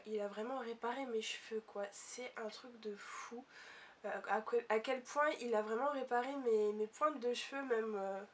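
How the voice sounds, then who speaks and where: A young woman talks through beauty products in a chatty, hesitant way. Her voice sits high and sounds stuffy from a cold. She is a little distant from the microphone. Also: a bit bright. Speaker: beauty vlogger. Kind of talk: casual product review.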